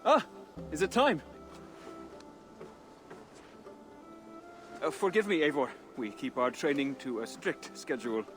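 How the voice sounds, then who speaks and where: A man playing a modest, devoted disciple of a secret brotherhood in a video game, speaking politely, gently and formally. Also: on the high side, sing-song, normal speed. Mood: apologetic. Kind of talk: dramatic video game acting. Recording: light music behind the voice.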